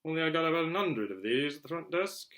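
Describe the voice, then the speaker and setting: A man's voice: on the high side, lively intonation, quick pace, fairly bright tone, voice pushed up. A man voicing a fussy middle-aged woman who owns a hotel, politely calling out in a storybook.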